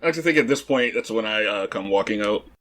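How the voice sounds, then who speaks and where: A man's voice: on the low side, lively intonation, fast talker, fairly bright tone. A man playing a tabletop roleplaying game, speaking casually and conversationally in character.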